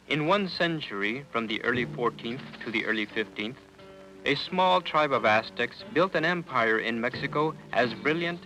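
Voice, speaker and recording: A man giving measured, solemn scripted narration for a documentary. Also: mid-range voice, pushed and bright, rough recording. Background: guitar music.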